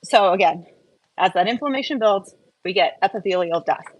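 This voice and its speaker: A woman's voice: medium pitch, animated, moderate pace, dry recording, highs cut off. A woman hosting a live wellness stream, explaining things informally and step by step in a conversational way. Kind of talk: informal explanatory talk to a live online audience.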